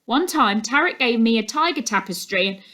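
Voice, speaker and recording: A woman's voice: a little high, animated, moderate pace, bright, forceful tone. A woman voicing a gossipy, opinionated neighbour in a storybook, confiding and emphatic. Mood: indignant, confiding. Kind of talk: storybook character monologue.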